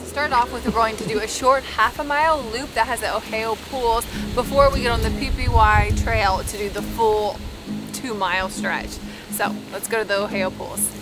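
A woman's voice: a little high, steady tone, normal speed, over music, rough recording. A woman travel vlogger talking casually outdoors on a road trip, chatty and enthusiastic as she gushes about food and sights. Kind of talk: casual travel vlog narration.

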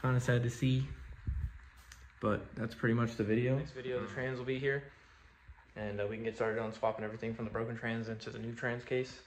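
A man's voice: mid-range voice, level tone, brisk. A young man in his twenties filming a casual vlog on his phone at arm's length, talking loosely and swearing when something shocks him. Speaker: car vlogger. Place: outdoors at a drag strip and car meets, indoors in a garage. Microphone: phone camera held at arm's length. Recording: noisy outdoor phone audio. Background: engines and crowd noise.

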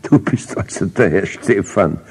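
An older man voicing a jovial, loud male post office clerk in a children's story, husky and formal. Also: sits low, unhurried, exaggerated voice. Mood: amused. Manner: formal, calling out. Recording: reverberant old cassette transfer.

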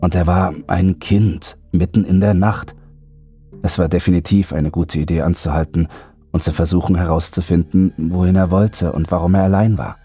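Guy reading a creepy story, really deep husky voice, soft dark tone. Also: steady tone, moderate pace, faint music behind. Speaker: horror audiobook narrator. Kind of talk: scripted first-person horror narration.

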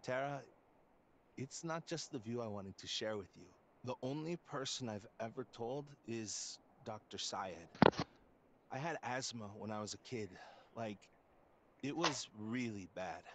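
An actor playing a sweet, earnest young surfer dude in a video game, talking in breezy slang. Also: male voice, mid-range voice, unhurried, background noise, natural voice. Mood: tender, earnest. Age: young adult.

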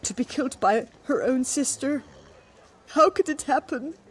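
An actress playing a humble, mournful flower seller in a video game, plain-spoken and wistful, in short phrases. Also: female voice, high voice, moderate pace, slightly rough, rough recording. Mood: grieving.

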